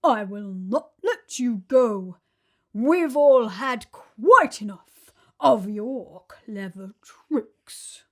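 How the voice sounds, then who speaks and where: Woman as a loud, bellowing storybook elephant. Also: lively intonation, extremely slow, heavily acted. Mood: stern. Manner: booming, emphatic bellow. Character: big, stern, protective male elephant, bellowing.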